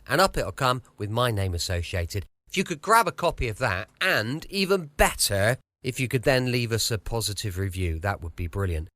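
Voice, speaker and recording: Casting: chatty British male host for a podcast intro, mid-pitched, highly animated, jokey and informal. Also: no echo. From southwest of the United Kingdom.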